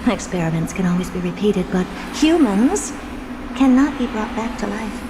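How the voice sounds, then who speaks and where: A woman's voice: medium pitch, animated, moderate pace, very noisy, lightly acted. A woman playing a haughty, irritable genius scientist in a video game, speaking in an imperious, dramatic manner. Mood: grudgingly resolved.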